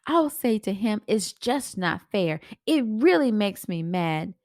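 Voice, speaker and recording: A woman's voice: medium pitch, very animated, slow, a little mellow, no echo, clean studio sound. A woman voicing a resentful, hurt elder son in a children's storybook, complaining emphatically. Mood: aggrieved.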